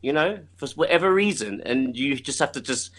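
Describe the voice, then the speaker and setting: A man's voice: medium pitch, animated, fairly quick, fairly bright tone. A man talking casually as an interview guest, rambling and repeating himself, laughing often. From United Kingdom.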